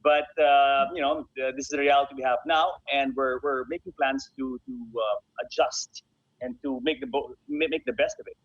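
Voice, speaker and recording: A man's voice: mid-range voice, normal speed, dry recording. A man giving polite, conversational interview answers as a guest, often repeating words as he goes. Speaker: guest, bobsled team president. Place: remote call from Manila. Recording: muffled, lo-fi online call.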